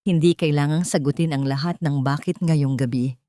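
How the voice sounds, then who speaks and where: A woman plays a gentle Filipino man in an audio drama, a consoling stranger. The manner is soft-spoken and soothing, and the recording is dry. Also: low-pitched, steady tone, normal speed, no echo, lightly acted. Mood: calm, caring.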